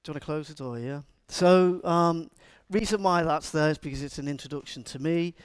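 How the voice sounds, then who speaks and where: Scouse guy talking at a conference, kinda high voice, dry sound, jokey and chatty. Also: brisk, clear, resonant tone, dry recording. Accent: Scouse (Liverpool). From Liverpool, England. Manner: chatty, jokey, asks the audience questions. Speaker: conference speaker and agile coach. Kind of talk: live conference talk to a room.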